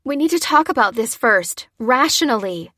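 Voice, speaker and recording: A woman's voice: on the high side, lively intonation, normal speed, pushed and bright, no echo, clean studio sound, voice pushed up. A woman voicing a bold, compassionate, alarmed female character, urgent and protesting. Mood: pleading, protesting.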